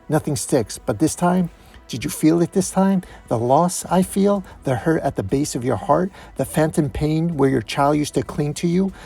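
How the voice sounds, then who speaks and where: A man voices a bitter comic-book villainess, an ex-wife out for revenge. She sounds husky and intense, taunting and accusing. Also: mid-range voice, darkish tone. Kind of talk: dramatic comic-book reading.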